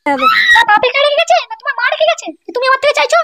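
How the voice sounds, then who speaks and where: A woman voicing a shrill, nagging, suspicious wife in a comedy cartoon. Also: sing-song, very fast, music in the background. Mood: suspicious, scolding.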